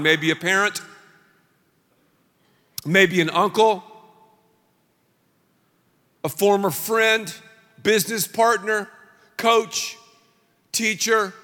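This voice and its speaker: A middle-aged man preaching a sermon as pastor, telling stories with animated delivery and shouting out acted-out lines. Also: high voice, takes it slowly, pauses often, in a large hall.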